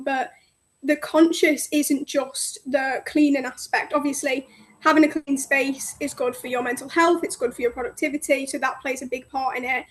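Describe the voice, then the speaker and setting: A woman in her twenties from North Wales, a friendly, bubbly guest chatting casually about her business over a phone call. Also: high-pitched. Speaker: guest, cleaning business owner. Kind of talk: casual interview chat.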